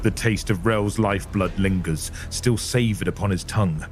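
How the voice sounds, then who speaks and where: Male narrator, low pitch, grave theatrical delivery, portentous phrasing, scripted audio drama, faint music bed. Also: moderate pace.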